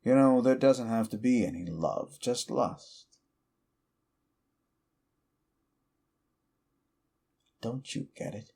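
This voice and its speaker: A man voicing an ancient, petty male demon who mocks a mortal in a slow, deep drawl, in audio roleplay. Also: creaky, warm tone. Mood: scornful.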